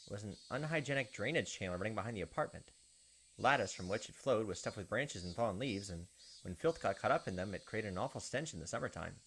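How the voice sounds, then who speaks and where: A man's voice: lowish voice, lively intonation, fast, fairly bright tone, a little hiss or hum, low-quality recording. A man hosting a visual novel playthrough, reading narration aloud and commenting casually. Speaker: gaming video host.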